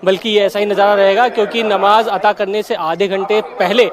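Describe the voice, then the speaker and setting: A male news reporter speaks in a high-pitched voice, reporting live from a street. A crowd is clearly audible around him as he delivers running commentary for television. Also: steady tone, a little fast. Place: outdoors on a crowded city street. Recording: loud crowd noise around voice.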